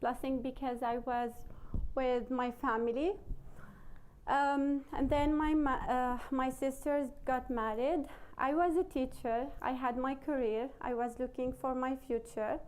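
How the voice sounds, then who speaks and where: A woman with a Syrian Arabic accent speaks in a high voice, slowly and hesitantly. She pauses often on "uh" and "um" as she tells an audience about her own life, with her first language showing in her English. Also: fairly even intonation, a little mellow, clean tone, low-quality recording. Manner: hesitant, halting English with many fillers. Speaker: panelist. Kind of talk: personal testimony to a live audience.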